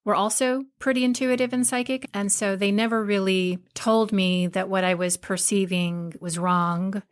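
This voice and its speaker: A woman's voice: mid-range voice, steady tone, normal speed, clean tone. A middle-aged woman from Hawaii, a guest on a podcast, telling candid stories about her life and often laughing as she talks. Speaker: podcast guest, spiritual teacher. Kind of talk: casual interview conversation.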